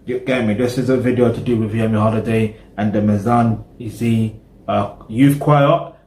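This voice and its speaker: A man's voice: lowish voice, steady tone, normal speed, a little mellow, echoey room. A British man, casually chatting through a music reaction video and rambling with lots of "you know what I mean". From Britain.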